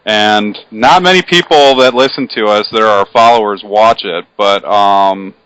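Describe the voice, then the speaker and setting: A man's voice: on the low side, moderate pace, fairly bright tone, clean tone, dry recording, poor audio. A thirty-year-old man, a podcast host, talking brashly from his condo and swearing freely. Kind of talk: casual interview chat.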